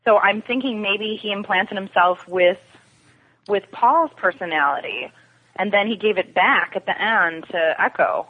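A woman's voice: medium pitch, a little slow, slightly rough. A woman giving her opinions in a casual, conversational TV discussion as a podcast guest. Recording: dull phone-like lo-fi connection.

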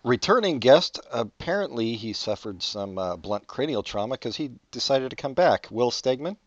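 A man's voice: mid-range voice, sing-song, normal speed, no echo, muffled recording. A man in his forties hosting a podcast, chatting casually with dry, wry teasing. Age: 40s. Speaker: podcast host. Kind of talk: casual chat.

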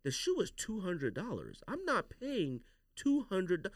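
Animated man talking slangy, close dry sound. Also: sits high, unhurried, no echo. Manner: slangy, opinionated. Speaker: podcast host. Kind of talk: casual sneaker podcast chat.

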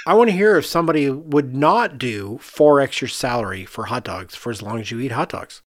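A man hosts a casual podcast chat. His pitch moves around in a lively way and his delivery is animated and joking. The sound is dry, with very little room in it. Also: brisk, no echo. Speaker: podcast host.

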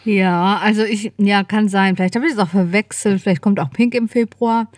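A woman's voice: mid-range voice, steady tone, moderate pace, dry recording, studio quality. A woman in her fifties chatting casually and informally as a podcast co-host. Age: 50s.

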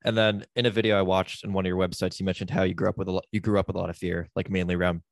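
A male podcast host with a deep, gravelly, level voice. He asks quick, brief questions and speaks close to the mic in a dry, dead room. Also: level tone, no echo. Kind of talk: casual interview.

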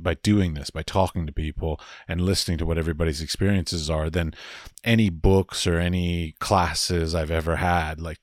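Casting: very deep, dry-sounding male podcast host, 40s, relaxed and chatty. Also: dry recording. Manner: relaxed, chatty, laughs easily. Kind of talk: casual interview chat.

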